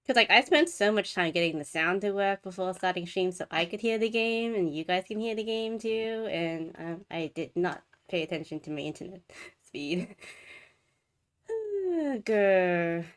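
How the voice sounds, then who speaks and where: A woman's voice: medium pitch, animated, measured pace. A woman livestreamer chatting casually to viewers as she hosts a game stream. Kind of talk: casual live stream chat. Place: live game stream from an indoor setup. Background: game music.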